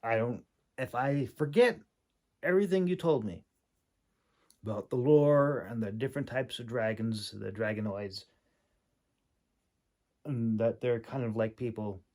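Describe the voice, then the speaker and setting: A man giving an informal, unscripted critique in a halting, hesitant way, with many pauses and repeated words. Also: mid-range voice, darkish tone. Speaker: audiobook narrator and manuscript critic.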